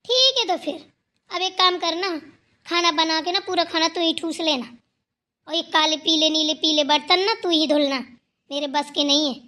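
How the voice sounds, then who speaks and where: A woman's voice: extremely high pitch, normal speed, pushed and bright. A woman voicing a haughty, quarrelsome elder sister-in-law in a Hindi family cartoon, scolding, sarcastic and dismissive. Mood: demanding, scornful.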